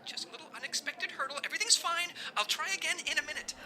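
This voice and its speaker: A man's voice: very high voice, racing, a crowd in the background. An actor playing Skip, a flustered male agent posing as a waiter, who stammers and stays overly polite in an audio comedy.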